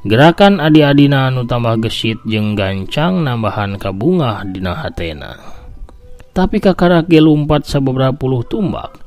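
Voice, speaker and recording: A man's voice: lowish voice, darkish tone. A man with a Sundanese accent narrating a scripted folk tale in a dramatic, theatrical storytelling style. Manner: theatrical, with voiced sound effects.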